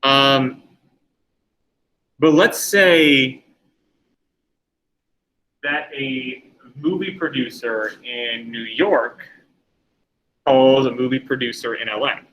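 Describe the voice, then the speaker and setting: A man's voice: medium pitch, steady tone, very slow, long pauses, a bit bright, low-quality recording. A middle-aged man teaching a class, lecturing in a conversational way and putting questions to the students.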